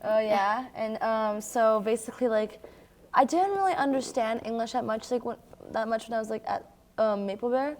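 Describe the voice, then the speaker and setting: A young girl from Brazil, a native Portuguese speaker, telling stories in casual chat with a halting, filler-heavy youthful manner. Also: on the high side, darkish tone, a little hiss or hum. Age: child or young teen. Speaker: young newcomer sharing experiences.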